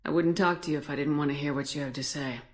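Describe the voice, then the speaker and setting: An actress playing a steady, determined military commander in a video game, speaking in a direct, plain-spoken way. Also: female voice, sits low, fast, natural voice. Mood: frank, supportive. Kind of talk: dramatic video game dialogue.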